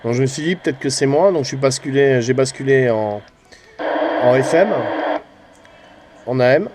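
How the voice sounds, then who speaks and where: A man's voice: medium pitch, moderate pace, very noisy. A man giving a casual, rambling hobbyist vlog commentary, hesitant and often trailing off with little tag words. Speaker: amateur CB radio hobbyist and channel host.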